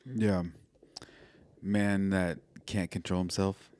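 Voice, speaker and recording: A man's voice: low voice, little pitch movement, slow, darkish tone. An American man co-hosting a podcast, chatting casually with short, dry reactions. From Arizona, United States. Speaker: podcast co-host. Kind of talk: casual chat, brief reactions.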